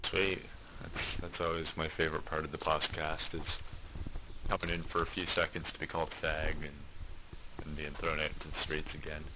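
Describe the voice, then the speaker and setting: A man's voice: rumbling low, level tone, moderate pace. A man calling in to a podcast, dry and self-deprecating in casual banter. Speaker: podcast co-host on a call. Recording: noisy glitchy internet call.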